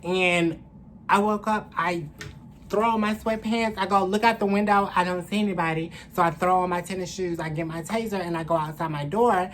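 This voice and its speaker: A woman's voice: fairly low pitch, fairly even intonation, moderate pace. A young adult woman telling a story casually to camera in a candid, slangy, profane way, recorded indoors at arm's length. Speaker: vlogger. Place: indoors, small room. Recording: clearly noisy room ambience.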